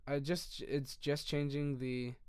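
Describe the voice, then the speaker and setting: A young man with a hoarse voice that keeps giving out, casually walking through a game strategy in a rambling, self-correcting way. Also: mid-range voice, level tone, slow, a little mellow, dry recording, studio quality. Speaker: video creator.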